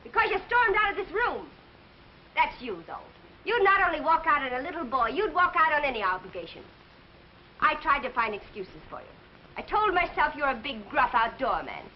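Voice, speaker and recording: A headstrong, meddling young American woman, speaking firmly in old Hollywood style. Her voice is high and very expressive, on a dull, noisy film soundtrack. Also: normal speed. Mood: indignant. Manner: firm, indignant, outspoken. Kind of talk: vintage Hollywood film acting. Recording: muffled old film soundtrack, clear noise.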